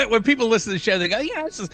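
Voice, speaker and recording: A man's voice: sits high, lively intonation, fast talker, pushed and bright. A middle-aged man co-hosting a music podcast in casual conversation. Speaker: podcast co-host.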